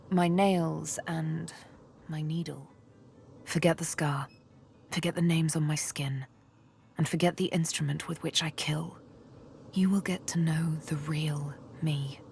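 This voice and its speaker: An actress voicing a seductive, menacing elven assassin woman in a fantasy game, intimate and teasing, with breathy, deliberate delivery. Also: low-pitched, a little mellow, slight background noise, heavily acted. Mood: menacing, coaxing, then intimate whispering. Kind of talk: dramatic fantasy game voice acting.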